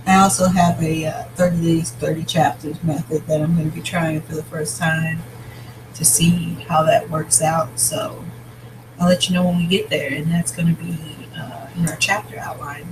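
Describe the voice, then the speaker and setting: A novelist with a raspy, scratchy voice talks through a story outline in a casual vlog, thinking aloud with pauses and restarts. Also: mid-range voice. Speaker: novelist outlining their book.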